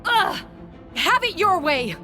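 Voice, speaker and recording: A woman's voice: very high-pitched, very animated, takes it slowly, sharp tone, faint music behind, exaggerated voice. An actress voicing a fierce, sly woman knight with a theatrical, taunting manner in a game cutscene. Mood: indignant. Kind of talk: dramatic game cutscene acting.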